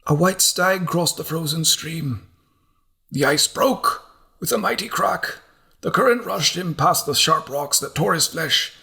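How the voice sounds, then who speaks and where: A man acts out a troubled visionary from a historical audiobook. The voice is lifted well above a natural low register, strained and fervent, as of someone in a fragile, fevered state. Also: measured pace, vocal fry, bright, forceful tone, exaggerated voice. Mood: rapt, fevered. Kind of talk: audiobook character acting.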